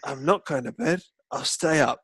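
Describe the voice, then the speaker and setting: A man's voice: fairly high pitch, moderate pace, a bit bright, breathy edge, no room sound. A man co-hosting a football podcast, telling stories in theatrical, mock-indignant, sweary banter. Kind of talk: comic storytelling banter.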